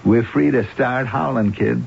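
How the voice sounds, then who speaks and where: An actor playing a greedy, menacing schemer in a radio drama, with an American accent and slangy talk that swings between cajoling and threatening. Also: male voice, mid-range voice, a little slow, gravelly, husky, full character voice. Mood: smug, flirtatious. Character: smooth-talking male schemer, menacing and greedy. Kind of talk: 1970s radio drama acting. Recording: muffled old radio recording, faint noise.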